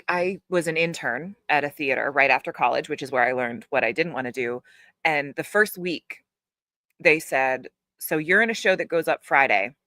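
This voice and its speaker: A woman's voice: low-pitched, pushed and bright, dry recording, clean studio sound. A woman guest, an actor and audiobook narrator, telling stories frankly and with plenty of swearing. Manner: frank, profane, storytelling. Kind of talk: casual interview chat.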